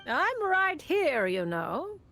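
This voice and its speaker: A woman playing a composed, cryptic fortune-telling witch in a video game, with a measured, knowing delivery. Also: high-pitched, big pitch swings, fairly bright tone, faint music behind, exaggerated voice. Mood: wry.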